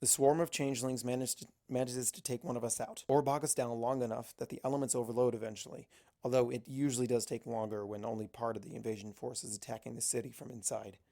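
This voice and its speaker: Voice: mid-range voice, steady tone, fast talker, vocal fry, warm tone. An amateur narrator reading a first-person fantasy adventure story aloud. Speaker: amateur fan fiction narrator.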